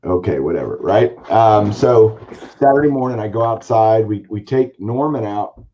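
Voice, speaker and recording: A man hosting a casual card-collecting chat show, telling a long story in a rambling, animated way with a hoarse, raspy voice. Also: fairly low pitch, normal speed, lo-fi sound. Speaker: podcast host, baseball card collector.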